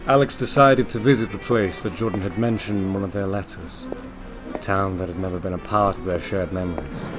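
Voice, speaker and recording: Male narrator for audio drama: lowish pitch, scripted dramatic read, music bed behind. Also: a little fast.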